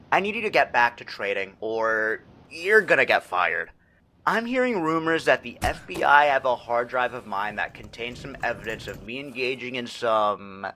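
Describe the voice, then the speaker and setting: Chummy, menacing finance boss, bright and animated. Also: male voice, medium pitch, slight background noise. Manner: overfriendly, rambling, hesitant asides. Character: chummy, self-serving male finance boss, passive-aggressively threatening. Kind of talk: comedic game voicemail acting.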